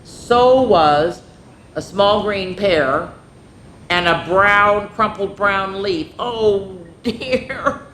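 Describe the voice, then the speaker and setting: Expressive woman storyteller in echoey room. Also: drawn-out words. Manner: expressive, drawing out words for children. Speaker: children's story-time host and reader. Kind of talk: animated picture-book read-aloud with casual asides. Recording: echoey room with faint rumbling noise.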